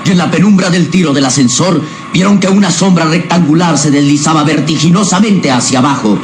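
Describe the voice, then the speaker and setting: A man's voice: on the high side, a little fast, background noise. A man narrating a scripted radio drama in a dramatic, suspenseful manner. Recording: dramatic music underneath.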